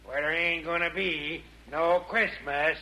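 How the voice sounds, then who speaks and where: Casting: folksy Southern US schemer, unhurried, piercing tone, vintage 1940s radio villain. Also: male voice, on the high side, fairly even intonation, pushed and bright, poor audio. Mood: folksy, scheming. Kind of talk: 1940s radio comedy acting. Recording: old 1940s radio broadcast.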